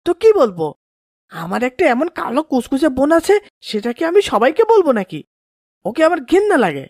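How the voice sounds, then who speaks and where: A woman's voice: high voice, very animated, a little fast, darkish tone, no room sound, studio quality. A woman voicing a scornful young schoolboy, a rude older brother, in a children's cartoon. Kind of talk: children's moral cartoon voice acting.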